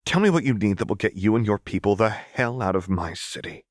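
A man's voice: fairly low pitch, lively intonation, fairly quick, no echo, close to an everyday voice. A haughty noblewoman operative in an audiobook story, acted by a man. Her delivery is curt and dry, edged with scorn and irritation. The recording is close, without any room sound. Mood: irritated, resigned.

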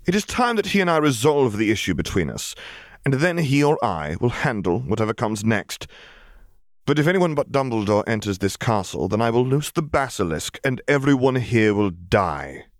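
A man doing a cold, commanding dark wizard. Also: mid-range voice, very animated, moderate pace, dry recording, clean studio sound, pitched above the performer's own voice.

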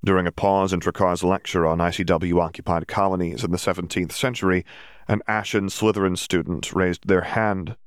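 A man's voice: low voice, level tone, normal speed, clear, resonant tone, no echo, clean studio sound. A man reading a fantasy story aloud as scripted audiobook narration.

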